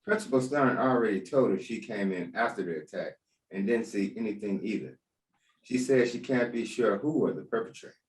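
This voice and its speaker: A man's voice: lowish voice, a little fast, natural voice. A man playing a blunt, skeptical police detective with emphatic delivery in a screenplay read-through. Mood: matter-of-fact. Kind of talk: screenplay table read acting. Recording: roomy, echoey, far from mic.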